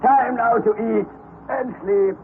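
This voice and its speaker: A man doing a hardy expedition guide, very high voice, calls out urgently, tinny old radio sound with faint hiss. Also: fairly even intonation, slow, vocal fry, heavily acted. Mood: calm. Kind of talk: 1930s radio drama acting.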